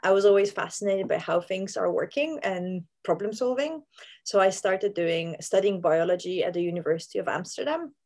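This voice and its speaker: A woman's voice: medium pitch, moderate pace, a little mellow. A woman, a scientist explaining her research in a virtual interview, hesitant with many fillers. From Poland. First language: Polish. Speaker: plant scientist guest. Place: home room with plants. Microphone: video call.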